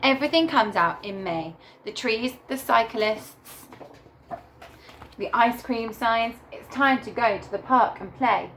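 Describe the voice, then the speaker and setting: A woman teacher reading a picture book aloud to young children in a deliberate, animated storytelling way. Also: fairly high pitch, a little hiss or hum. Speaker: primary school teacher reading to her class.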